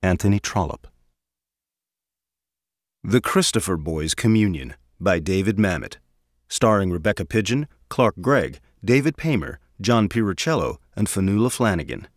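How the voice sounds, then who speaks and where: A man's voice: low voice, animated, moderate pace, dry recording, studio quality. A man reading scripted introductions and scene-setting narration as the announcer of a radio drama.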